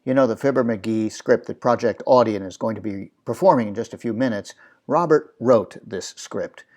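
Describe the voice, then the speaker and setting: A man's voice: lowish voice, normal speed, a little mellow. A man hosting a conversational interview as the interviewer.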